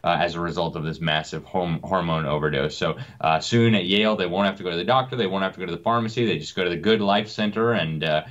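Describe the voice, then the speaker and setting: A man's voice: deep voice, fast, few pauses, a bit bright, lo-fi sound. A man interviewed as a guest on a talk show, speaking indignantly with many fillers. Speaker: guest commentator.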